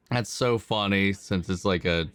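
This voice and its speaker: Slow, low-pitched casual man reacting. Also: a bit bright. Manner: laid-back, slangy, sparse short remarks. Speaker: reaction video host.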